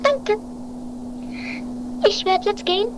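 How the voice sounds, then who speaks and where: A woman playing an earnest, theatrical lady tightrope walker in an improvised comic radio play, squeaky and affectedly polite. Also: slow pace. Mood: tense, earnest. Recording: noisy home-made 1980s radio play recording.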